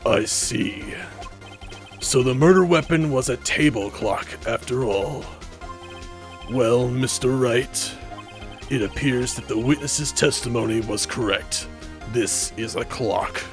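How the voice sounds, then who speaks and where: A man voicing a stern courtroom judge in a video game, speaking in a measured, pompous, formal way. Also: mid-range voice, soft background music, voice pushed down. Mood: pondering.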